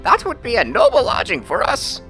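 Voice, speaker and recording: A man voicing an excitable, put-upon farmyard rooster in a fairy tale, exclaiming and declaiming his words. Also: extremely high pitch, moderate pace, faint music behind, voice pushed up, heavily acted. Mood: eager.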